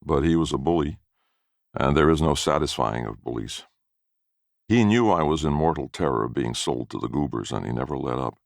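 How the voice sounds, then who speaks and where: Very deep, creaky male storyteller. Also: expressive, fairly quick, dry recording, studio quality. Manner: folksy storytelling. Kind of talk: scripted first-person story narration.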